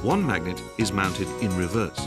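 A man giving scripted, measured narration for a factory training film, recorded as a studio voice-over. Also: low voice, animated. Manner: measured, explanatory. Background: background music.

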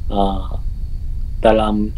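A young man from Japan with a Japanese accent, giving halting interview answers in a second language with a smile. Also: fairly low pitch, level tone, warm tone, rough recording. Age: young adult. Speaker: podcast guest. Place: indoor room, video call. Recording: clear background room noise.

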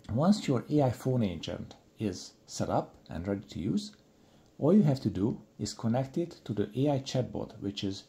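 A middle-aged man narrates a software tutorial. His voice is low and soft-edged, with very lively pitch movement as he explains each step deliberately. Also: creaky. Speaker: presenter.

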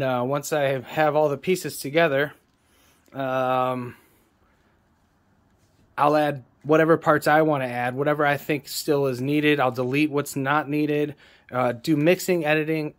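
A man in his forties, a songwriter and producer, explaining his process in a casual vlog, rambling and correcting himself, recorded on a phone held at arm's length in a small room. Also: fairly high pitch. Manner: rambling, self-correcting, plain-spoken.

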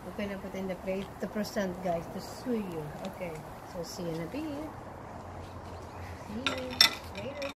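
A woman's voice: medium pitch, normal speed. A woman in her forties with a Filipino accent, hosting a cooking vlog in a back garden and chatting casually in a mix of English and Tagalog. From Philippines. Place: small back garden, outdoors. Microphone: camera a few feet away. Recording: outdoor recording with clear background noise.

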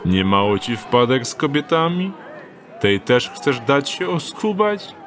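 A man's voice: medium pitch, sing-song, measured pace, fairly bright tone, a faint crowd, slight background noise. An actor playing a wry, mocking male thief in an audio drama.

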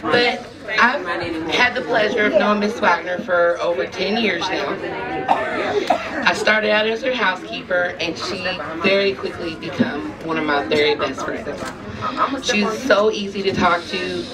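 A middle-aged woman with a hoarse, failing voice giving an informal, heartfelt tribute. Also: on the low side, measured pace. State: voice going, slightly hoarse. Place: large banquet room with windows. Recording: distant mic in crowded hall. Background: loud party crowd.

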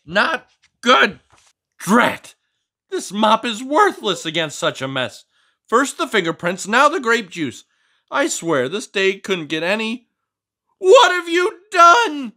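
A man's voice: on the high side, bright, forceful tone, pitched above the performer's own voice, exaggerated voice. A man voicing a small, fussy, boastful frog who is obsessed with neatness and prone to melodramatic outbursts, reading a picture book aloud. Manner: prim, scolding, melodramatic outbursts. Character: fussy, boastful neat-freak frog, small, easily outraged.

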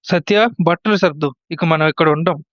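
A resentful, hot-headed younger son played by a man in a family story. His voice runs high and smooth, with a slightly dull recording. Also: normal speed, a bit bright, no room sound, close to an everyday voice. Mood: angry, fed up. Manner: aggrieved, confrontational.

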